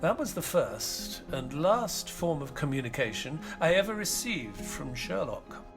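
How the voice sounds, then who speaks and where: An actor playing an elderly retired doctor and author in an audio drama, narrating in a measured, solemn, wistful way. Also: male voice, on the high side, sing-song, music in the background. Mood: reflective, solemn. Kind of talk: dramatic first-person scripted narration.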